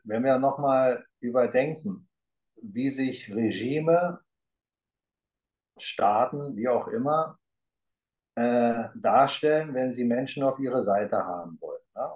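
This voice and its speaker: A man's voice: mid-range voice, darkish tone, poor audio. A middle-aged man talks on a video call, sitting before a green grass backdrop. He speaks emphatically and rambles, stacking up rhetorical questions. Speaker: talk show co-host. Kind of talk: casual conversation. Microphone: video call webcam.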